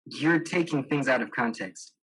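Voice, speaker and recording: A man's voice: mid-range voice, lively intonation, moderate pace, fairly bright tone, breathy edge, poor audio. A young man playing a smug, tactless date in a student stage drama, glib and dismissive. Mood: glib, defensive. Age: young adult.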